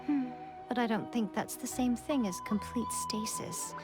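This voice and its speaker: A woman voicing a sly, composed shrine maiden in a video game, with measured, elegant, teasing delivery and a superior air. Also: a little high, close to an everyday voice. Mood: reflective, resolute. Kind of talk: English video game voice acting. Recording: studio voice over background music.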